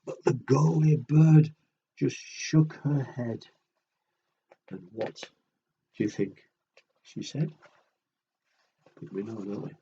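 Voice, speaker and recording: A man's voice: medium pitch, slow, creaky, pauses often, dark tone, lo-fi sound, slight background noise. A man reading a picture book to children expressively, with chatty conversational asides to his young listeners. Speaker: children's storyteller.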